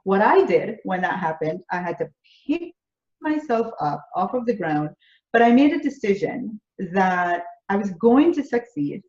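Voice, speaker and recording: A woman's voice: medium pitch, very animated, measured pace, a little mellow. A woman with a Jamaican accent speaks earnestly as an interview guest, stacking phrase upon phrase. Her voice carries the echo of a roomy space. The audio sounds slightly dull and lo-fi. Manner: earnest, builds through repeated phrases. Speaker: business coach, interview guest. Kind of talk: conversational interview answers.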